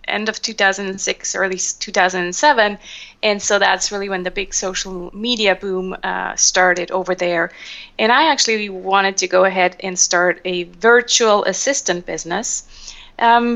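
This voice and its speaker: Swiss woman, non-native english, gravelly voice, thoughtful pauses, podcast guest. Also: mid-range voice, fairly bright tone, lo-fi sound. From Switzerland. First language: not English. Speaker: guest, social media trainer. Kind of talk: podcast interview.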